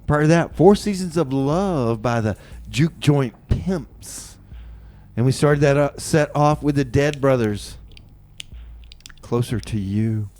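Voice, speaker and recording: A man hosting a community radio show in a casual, improvised style, often halting as he talks. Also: mid-range voice, expressive, darkish tone.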